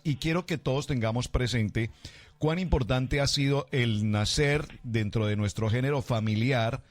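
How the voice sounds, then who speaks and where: A man's voice: mid-range voice, no room sound. Male pastor hosting a radio show. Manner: formal, effusive greetings, affirming fillers. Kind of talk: formal radio interview hosting.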